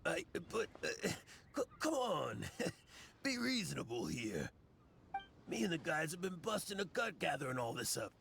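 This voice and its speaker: An actor playing a shifty, cowardly gang boss in a video game, stammering and wheedling in a gravelly voice. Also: male voice, a little high, very animated, unhurried, a bit bright, a little hiss or hum, heavily acted. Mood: nervous pleading.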